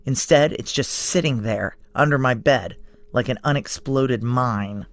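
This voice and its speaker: An actress playing a tough, wary security chief in a noir audio drama, low-pitched, dry and clipped. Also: female voice, measured pace. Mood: tense, dry.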